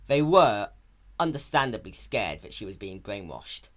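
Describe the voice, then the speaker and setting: A man's voice: fairly low pitch, very animated, moderate pace. A man reading a story aloud as narrator, in a scripted, dramatic style.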